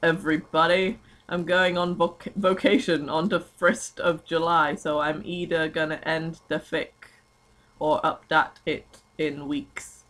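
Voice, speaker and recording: Slow, bright-voiced young British reader. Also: mid-range voice, level tone. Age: young adult. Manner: giggly, breaking off into asides. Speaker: amateur dramatic reader of fan fiction. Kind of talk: mock-dramatic reading aloud mixed with casual chat.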